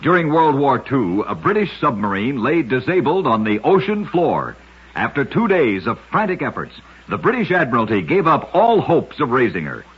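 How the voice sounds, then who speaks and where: Husky radio storyteller, lively pitch. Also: male voice, moderate pace. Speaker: radio show narrator. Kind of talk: scripted radio storytelling narration of short oddities. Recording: muffled vintage-style radio recording.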